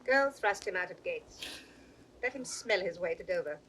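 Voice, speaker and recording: A woman's voice: lowish voice, animated, normal speed. A woman playing a cold, sadistic, scornful noblewoman in a 1960s Shakespearean audio drama. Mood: gloating. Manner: sharp, contemptuous. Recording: slightly noisy 1960s drama recording.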